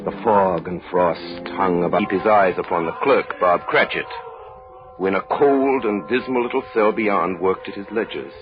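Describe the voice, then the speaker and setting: A man narrating a Victorian tale for a 1930s radio drama in a grave, measured, literary style. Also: low voice, natural voice. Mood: grave, atmospheric. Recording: muffled old radio recording with music.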